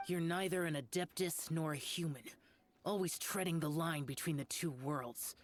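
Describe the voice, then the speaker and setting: A woman's voice: sits low, normal speed. A woman voicing an aloof, stern young male immortal warrior in an anime-style video game, curt, cold and solemn. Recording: faint music behind the voice.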